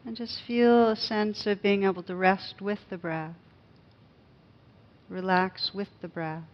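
A woman leading a guided meditation as a teacher, speaking gently and unhurriedly with pauses. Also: mid-range voice, steady tone, lo-fi sound.

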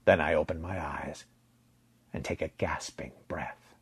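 A man with a husky voice narrating a story in a dramatic, deliberate way, letting phrase endings drop to a hush. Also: very deep voice, steady tone. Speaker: audio fiction narrator.

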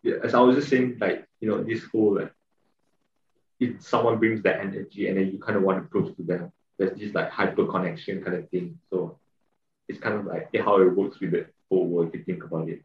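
Rambling, filler-heavy male co-host, lowish voice, roomy muffled recording. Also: steady tone, fairly quick, recorded from a distance, slight background noise. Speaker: podcast co-host. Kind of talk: casual podcast chat.

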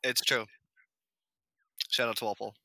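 A young man from Massachusetts co-hosting a podcast, laid-back and quick to laugh. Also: mid-range voice, fairly even intonation, creaky, sharp tone. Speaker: podcast co-host. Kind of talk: casual chat.

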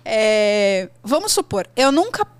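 A Brazilian woman in her thirties hosting a podcast, talking in an animated, chatty and slangy way. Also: mid-range voice, slow pace, smooth, no room sound. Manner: animated, chatty, slangy, jumps between thoughts. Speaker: podcast host, English teacher. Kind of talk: casual podcast chat.

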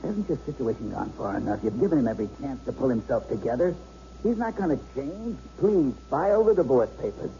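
A man's voice: medium pitch, big pitch swings, fairly quick, warm tone. A man playing a protective lawyer in a radio drama, earnest, insistent and persuasive. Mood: urging. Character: urgent, protective lawyer pleading with a friend. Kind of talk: 1970s radio drama acting. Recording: dull, noisy old radio broadcast recording.

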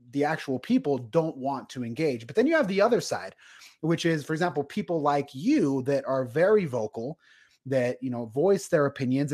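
A male podcast host with a slightly higher voice than most men, talking loosely and conversationally. He wraps his questions in "kind of" and "you know" as he works toward his point. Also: darkish tone. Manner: casual and chatty, many "kind of" and "you know" hedges. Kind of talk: podcast interview.

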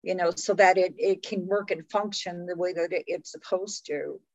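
A woman's voice: on the low side, fairly even intonation, moderate pace, no echo. Chatty woman in her late fifties, dull audio. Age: late 50s. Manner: chatty, conversational, peppered with "you know". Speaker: host. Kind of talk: casual health interview chat.

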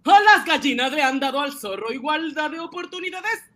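A woman's voice: sits high, expressive, fairly quick, fairly bright tone. A woman playing a proud, indignant owner of a small national factory in a radio drama, protesting emphatically. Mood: indignant, defiant.

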